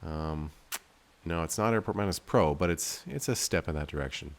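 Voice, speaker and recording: A man's voice: low-pitched, normal speed. A man giving casual gameplay commentary as a video host, rambling and thinking aloud in a self-deprecating way. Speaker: game developer and video host playing his game.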